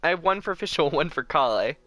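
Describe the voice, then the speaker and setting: A man chatting casually and excitably to viewers as the host of a gaming livestream. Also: a little high, brisk, soft background music.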